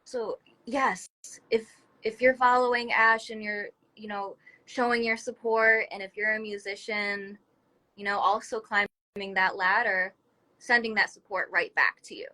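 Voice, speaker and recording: A woman with a bright, crisp-edged voice at an average pitch hosts a casual interview over a livestream. She talks in an encouraging, effusive way, like someone cheering on her guest. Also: steady tone, unhurried, rough recording. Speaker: talk show host interviewing a guest. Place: livestream video call from a phone.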